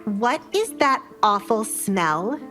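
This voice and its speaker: A woman voicing a spoiled, hateful young noblewoman in an audio drama, indignant and given to shrill outbursts, with music behind her. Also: very animated, slow, a bit bright.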